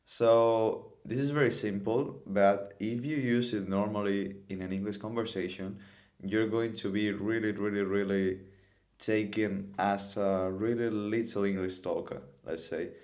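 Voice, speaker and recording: A man's voice: fairly low pitch, fairly even intonation, measured pace, a little mellow. A man in his twenties from Spain, with a Spanish accent, teaching a casual English lesson and often repeating words for emphasis. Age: 20s. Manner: non-native phrasing, repeats words for emphasis.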